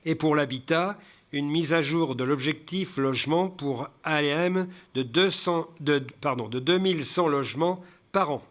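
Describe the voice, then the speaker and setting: A man giving a formal report as a local councillor, reading out points haltingly, stumbling and repeating words as he goes. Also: a little high. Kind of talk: formal presentation read from slides with spoken asides.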